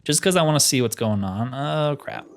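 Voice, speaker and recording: A man's voice: mid-range voice, expressive, normal speed. A man giving casual gameplay commentary in a laid-back way, musing to himself as he plays. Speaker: gaming streamer.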